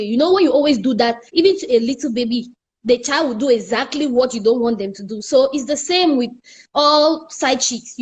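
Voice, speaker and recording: A woman's voice: high voice, slightly rough. A Cameroonian woman from the northwest of Cameroon, a guest speaking earnestly over a remote call in casual conversation.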